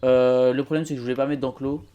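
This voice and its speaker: A man giving a casual walkthrough commentary of a game build as host, speaking in a hesitant, halting way. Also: medium pitch, little pitch movement, warm tone, clean tone, muffled recording. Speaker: host presenting his zoo build.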